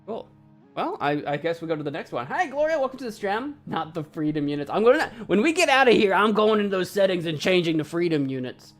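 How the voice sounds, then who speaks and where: A woman streaming a game, chatting casually, cracking jokes, laughing often and thinking out loud. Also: lowish voice, big pitch swings, quick pace, soft background music. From United States. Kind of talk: casual live-stream commentary.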